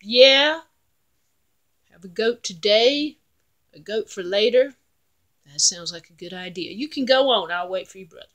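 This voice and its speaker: A woman voicing a mean, hungry, dim-witted male troll who talks in a slow, loud, menacing drawl. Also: medium pitch, expressive. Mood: pleased.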